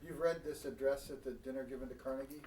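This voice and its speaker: A man's voice: medium pitch, fairly even intonation, fast, darkish tone. A man interviewing in a casual, conversational way, tentatively rephrasing as he thinks aloud. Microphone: away from the microphone. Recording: distant mic, echoey room, noisy.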